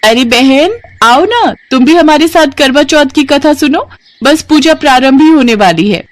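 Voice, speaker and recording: Village housewife for storybook, woman performing: friendly, eager, chatty, raised pitch, lively swings. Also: unhurried, dry recording. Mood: eager, hurried. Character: friendly, bustling village housewife calling her companions. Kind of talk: storybook dialogue.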